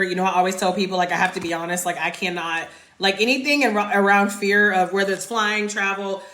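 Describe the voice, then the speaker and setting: A bright, forceful-sounding woman from North Carolina chats through a livestream Q&A, rambling casually and leaning on "like" as she reads and answers comments. Her voice bounces around an echoey room, picked up on a phone mic under a ring light. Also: mid-range voice. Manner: chatty and rambling, many "like" fillers, answers comments aloud. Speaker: travel creator hosting a live Q&A. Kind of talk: casual livestream chat reading viewer comments.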